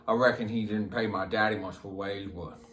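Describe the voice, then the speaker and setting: An actor playing a simple, slow, matter-of-fact rural Southern man who confesses in a halting, plain-spoken, repetitive drawl, as a dramatic film monologue. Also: on the low side, steady tone, a bit bright, natural voice. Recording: roomy echo, music underneath.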